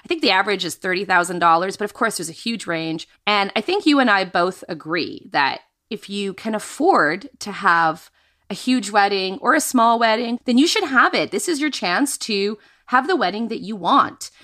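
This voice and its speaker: A woman's voice: mid-range voice, lively intonation, normal speed, fairly bright tone. A woman co-hosting a personal finance show, talking in an upbeat, conversational way. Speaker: podcast co-host.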